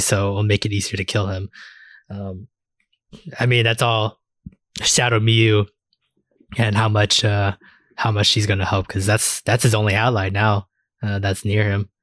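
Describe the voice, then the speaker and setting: A man's voice: on the low side, little pitch movement, normal speed, fairly bright tone, dry recording. A man hosting a podcast in a casual, chatty style. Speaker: podcast host. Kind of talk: casual chat.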